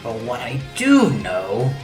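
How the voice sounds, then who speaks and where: A woman's voice: very deep voice, wildly swooping pitch, slow pace, a little mellow, faint music behind, heavily acted. A woman playing a proud, theatrical, loyal robot second-in-command, eloquent and dramatic, in a comic dub with a roomy echo. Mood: earnest, pleading.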